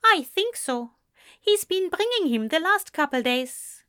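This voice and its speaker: A woman's voice: very high voice, very animated, unhurried, a bit bright, no room sound, studio quality, voice pushed up, heavily acted. A woman reading a cheerful, breezy young female neighbour.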